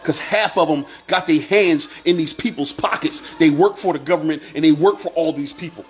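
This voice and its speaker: A man's voice: a little high, brisk, soft background music. Fired-up man preaching to viewers. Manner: preachy, repetitive, rising to shouts. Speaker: online commentator. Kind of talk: impassioned monologue to viewers.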